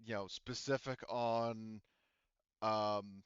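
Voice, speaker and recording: A man acting as game master, explaining a tabletop game scenario in a conversational, halting way. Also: lowish voice, fairly even intonation, clear, resonant tone, dry recording, muffled recording.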